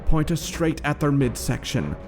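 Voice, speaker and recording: A man's voice: a little high, very animated, moderate pace, over music. A man voicing a commanding alien warrior empress who barks battle orders in a storybook reading. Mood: urgent command. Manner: barking, imperious.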